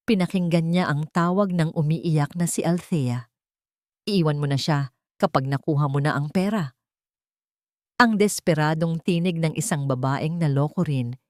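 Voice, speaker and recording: Filipino woman narrating, lowish voice, dry sound. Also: a little mellow, no echo. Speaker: storyteller. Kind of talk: dramatic scripted narration of a romance story.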